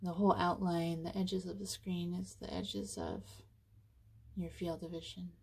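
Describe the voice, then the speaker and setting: A woman leading a guided meditation in an informal, conversational way, with soothing, casual phrasing and frequent pauses. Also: fairly low pitch, sing-song, creaky, breathy edge.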